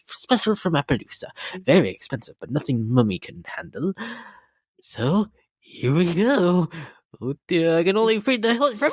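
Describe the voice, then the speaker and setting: A sadistic pony lady for a dramatic comic reading. She is theatrical and flirtatious, drawling her endearments with an unhinged edge. The pitch swoops wildly from low to high, and the sound is very dry. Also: slightly rough, dry recording, full character voice. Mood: giddy, theatrical.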